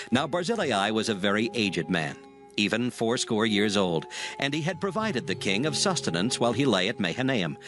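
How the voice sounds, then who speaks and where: A man narrating a dramatized scripture from a script in a solemn style. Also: medium pitch. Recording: music behind the voice.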